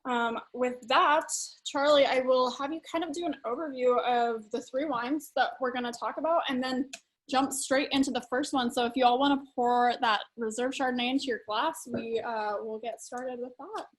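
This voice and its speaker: A woman's voice: high-pitched, steady tone, moderate pace. Chatty woman hosting a tasting. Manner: friendly, chatty, with fillers. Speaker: host.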